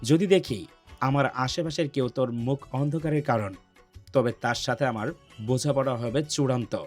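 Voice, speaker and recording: A man's voice: mid-range voice, moderate pace, natural voice. An actor playing a domineering young man in love in an audio love story, intense and commanding, both threatening and tender. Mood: stern. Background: background music.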